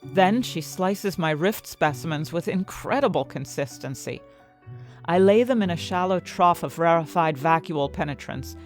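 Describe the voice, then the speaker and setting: A woman playing an ambitious, indignant fantasy inventor, narrating her own story expressively and articulately in an audio drama. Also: sits low. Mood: focused. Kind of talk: first-person dramatic audio fiction narration. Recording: music bed behind the voice.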